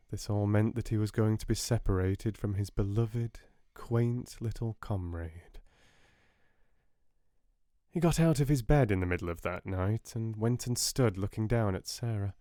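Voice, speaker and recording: A British man narrates a storybook in a soft voice. His pitch is on the low side, and the recording is dry, as suits an audiobook. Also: dry recording.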